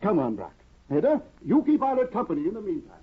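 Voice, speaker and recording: An actor playing a cheerfully oblivious, fussy, doting husband in chirpy 1950s radio drama style. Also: male voice, high-pitched, wildly swooping pitch, fast talker, husky, full character voice. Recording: muffled old radio broadcast.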